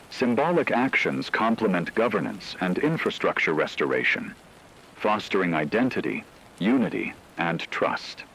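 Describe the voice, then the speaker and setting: A man reading scripted radio news as an anchor, with a formal, measured delivery. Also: on the low side, gravelly, a bit bright, a little hiss or hum, rough recording.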